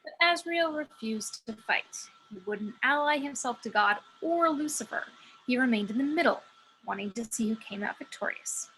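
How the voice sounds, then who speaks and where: A woman's voice: a little high, animated, normal speed, slight background noise, rough recording. A woman playing a blunt, scornful celestial muse in a script table read, emphatic and sardonic as she scolds her fallen brother. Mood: grave, explaining. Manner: emphatic, sardonic, sharp-tongued. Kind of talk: amateur table reading of a film script.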